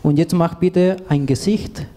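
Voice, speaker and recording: A man's voice: on the high side, unhurried, dark tone. A man in his thirties from Chile, a native Spanish speaker with a Chilean accent, coaching an audience informally in simple, direct non-native phrasing. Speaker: co-presenter, exercise coach. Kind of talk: informal stage talk with guided exercise. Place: indoor event room with seated audience. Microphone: handheld microphone.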